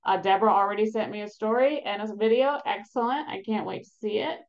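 A woman in her thirties talks through a laptop mic on a video call, and the audio sounds a little dull. She is upbeat and encouraging, with plenty of "um"s and restarts, at a mid pitch. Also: fast. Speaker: advocacy program staff presenting a webinar. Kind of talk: casual webinar presentation. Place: home room behind a virtual background. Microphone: laptop webcam mic on a video call.

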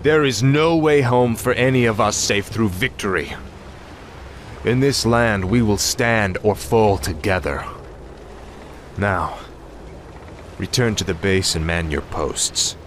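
An actor playing a proud, vengeful young warrior prince in a fantasy game, commanding and intense. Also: male voice, fairly low pitch, slow pace, pauses often, a little hiss or hum. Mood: rousing resolve. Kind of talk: fantasy video game cutscene acting. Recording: loud game music behind the voice.

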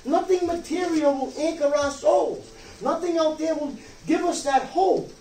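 A man's voice: extremely high pitch, measured pace, darkish tone. A man in his sixties, a pastor, preaching a sermon emphatically from a church pulpit with frequent rhetorical check-ins. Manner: emphatic, rhetorical questions, check-ins like "okay?" and "you see?". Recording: roomy distant mic, some background noise.

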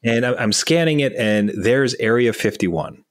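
A Canadian man with a Canadian accent, co-hosting a casual gaming podcast and telling animated stories. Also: medium pitch, measured pace, no room sound, clean studio sound. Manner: animated storyteller, does voices for people in his stories. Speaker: podcast co-host. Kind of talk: casual chat.

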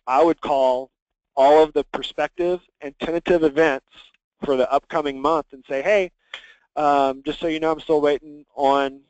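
A man leading a software training conference call, talking conversationally and instructively as he walks listeners through things. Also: medium pitch, dry recording, rough recording. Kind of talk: conversational screen-share walkthrough. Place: conference call with screen share.

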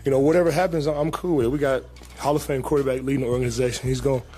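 A young man speaks loosely into a table microphone in a team press room, circling back with fillers and repeated phrases. His voice sits at an ordinary male pitch, and a faint trace of music lies underneath. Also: racing. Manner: relaxed, casual, many fillers and repeats. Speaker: pro football player at a press conference. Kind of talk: press conference answers. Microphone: table mic in front.

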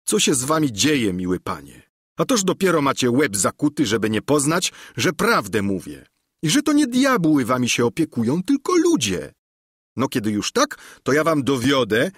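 A man's voice: medium pitch, wildly swooping pitch, moderate pace, a bit bright, husky, no echo, studio quality, voice pushed up, full character voice. A man voicing a simple, earnest peasant squire who talks in a blunt, folksy, pleading way.

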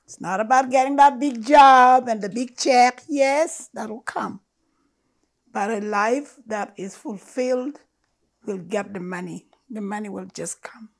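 An older woman speaks slowly and earnestly to a gathered audience, leaning on key words for emphasis. Her voice sits a little high, and she lets each phrase land before moving on. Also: vocal fry. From Uganda. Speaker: storyteller speaker. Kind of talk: storytelling talk to an audience. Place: living room with stone fireplace, indoors. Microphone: lapel mic.

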